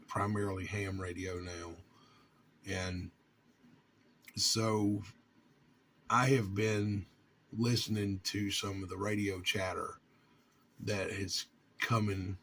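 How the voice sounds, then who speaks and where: Low, gravelly man, slow talker. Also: fairly bright tone, slight background noise. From North Carolina, United States. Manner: halting, earnest, with long pauses. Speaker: vlogger and amateur radio hobbyist. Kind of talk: informal monologue to viewers.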